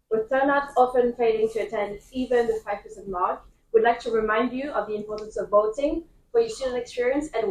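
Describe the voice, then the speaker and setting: A young woman opening a student debate with formal announcements, measured with small fillers. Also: a little high, darkish tone, echoey room, recorded from a distance, a little hiss or hum, rough recording. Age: young adult. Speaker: debate moderator.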